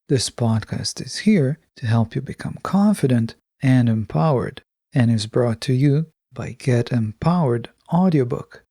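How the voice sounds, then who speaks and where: A man hosting a solo motivational podcast, speaking in a measured, reflective way with deliberate phrasing. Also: medium pitch, vocal fry, mellow, no echo, studio quality. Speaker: podcast host.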